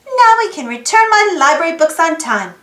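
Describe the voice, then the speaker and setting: Really high voiced lady doing kids read-aloud, super expressive, roomy sound w faint music. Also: moderate pace. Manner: animated, addresses young listeners with questions. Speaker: storybook read-aloud host. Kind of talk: expressive children's picture-book read-aloud.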